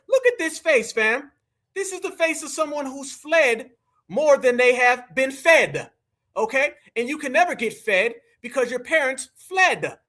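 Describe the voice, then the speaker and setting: Male live-stream host, American, high bright voice, lively swings, combative and mocking, slang-heavy. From United States. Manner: combative, mocking, slangy, talks straight to viewers. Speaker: livestream commentator. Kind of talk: heated live reaction commentary.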